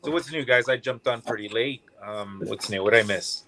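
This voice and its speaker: A man's voice: mid-range voice, normal speed, a crowd in the background, low-quality recording. A man joining a livestream as a guest, chatty and upbeat, swearing casually.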